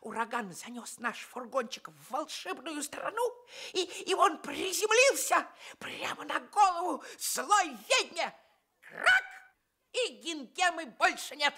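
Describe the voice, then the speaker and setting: An actress playing a perky, cheeky little dog with a pert, emphatic manner in a children's radio play. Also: female voice, high-pitched, sing-song, a little slow, pushed and bright, full character voice. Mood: cocky, gleeful.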